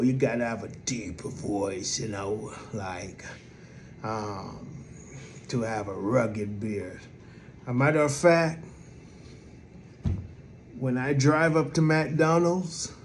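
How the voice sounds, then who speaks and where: A man with a soft voice preaching a sermon in a lively, conversational way, with many fillers. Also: medium pitch, slow, pauses often, slight background noise.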